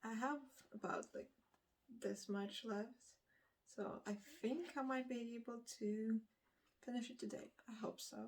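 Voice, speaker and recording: A woman's voice: medium pitch, darkish tone, rough recording. A woman from Lithuania, a native Lithuanian speaker, chatting casually and unscripted to camera as a book vlogger, rambling and correcting herself hesitantly as she goes.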